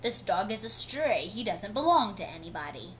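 A stern storybook dog warden, voiced by a woman reading aloud. The delivery is official and matter-of-fact. The home webcam recording carries clear noise. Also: medium pitch, sing-song, normal speed, close to an everyday voice.

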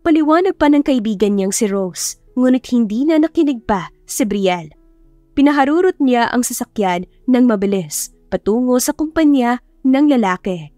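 A woman's voice: fairly high pitch, lively intonation, moderate pace, mellow, dry recording. A woman narrating a scripted romance audiobook in a dramatic storytelling style. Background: faint music.

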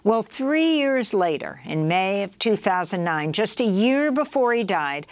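Woman news host, lively dry read. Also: mid-range voice, a little slow, no echo. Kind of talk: scripted news narration. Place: TV studio.

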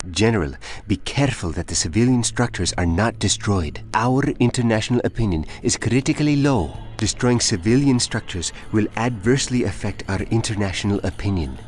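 Man voicing low-pitched military game advisor. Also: darkish tone, lightly acted. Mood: alert, formal. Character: male military battlefield advisor, measured and dutiful. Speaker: in-game announcer. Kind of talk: scripted game tutorial and status alerts. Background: music.

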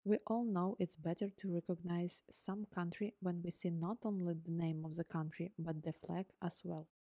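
Low mellow woman, native Ukrainian speaker. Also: normal speed, no room sound. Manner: calm, step-by-step explaining. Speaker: tutorial host. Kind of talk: scripted coding tutorial narration. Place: voice-over on screen recording.

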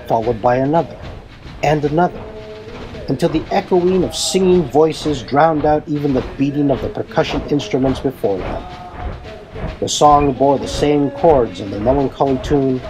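A man reading a fan-fiction story aloud as narrator, in a dramatic, deliberate storytelling manner. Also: mid-range voice, rough recording.

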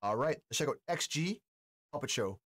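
A man's voice: medium pitch, lively intonation, moderate pace, a bit bright, no echo, studio quality. A man in his forties giving casual, slangy reaction commentary to camera as a host. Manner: slangy, informal. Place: small indoor streaming room.

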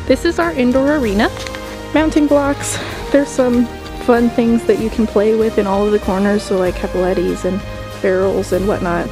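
A woman's voice: medium pitch, vocal fry. A young woman casually narrating a walking tour of a horse barn, upbeat and chatty as she points things out. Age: young adult. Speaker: vlogger giving a barn tour. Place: horse barn and snowy paddocks outdoors.

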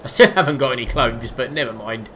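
A man's voice: medium pitch, fast, fairly bright tone, low-quality recording. Chatty man narrating gameplay. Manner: chatty, jokey, talks to himself and the animals. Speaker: let's play gamer. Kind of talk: casual gameplay commentary. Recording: game sounds and noise behind voice.

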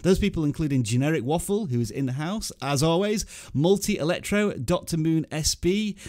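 A man's voice: fairly high pitch, animated, normal speed, a little mellow. A man in his thirties with an English accent, hosting a casual livestream from a small home studio and cheerfully greeting viewers in the chat. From England. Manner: upbeat, reading out chat names and greeting viewers. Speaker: livestream host. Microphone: studio microphone on arm, headphones.

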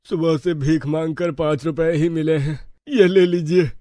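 Guy doing a humble sorrowful dad for a cartoon, subdued, soft dark tone, dry. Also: a little high, fairly even intonation, measured pace, no echo, lightly acted. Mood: sad pleading. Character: sorrowful, humble father pleading gently. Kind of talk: animated Hindi moral story acting.

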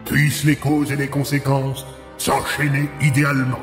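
A man voices an omniscient spirit from an audio drama, speaking with grave, measured authority. The words ring out in long cavernous reverb over soft music. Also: mid-range voice, completely flat delivery. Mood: solemn. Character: solemn, all-knowing cosmic spirit giving cryptic counsel. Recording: long echoing hall reverb.

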